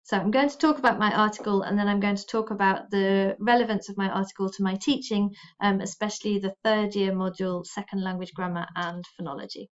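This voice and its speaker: A woman from the United Kingdom, a university lecturer explaining her research in a measured, explanatory way. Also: mid-range voice, fairly even intonation. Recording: slightly dull recording.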